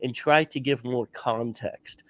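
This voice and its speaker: A man's voice: mid-range voice, fairly even intonation, measured pace, fairly bright tone, dry recording. Stuffed-up man with a sore throat. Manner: earnest, coaching, advice-giving. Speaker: podcast host. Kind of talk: casual interview chat.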